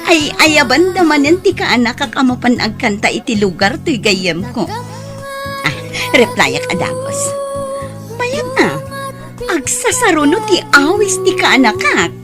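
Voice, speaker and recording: A woman with a Filipino accent playing a greedy, scheming aunt in an audio drama, expressive and falsely soothing. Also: sits high, measured pace, over music. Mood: gleeful, greedy. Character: scheming greedy aunt exploiting her young singing nephew. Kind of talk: Ilocano audio drama acting.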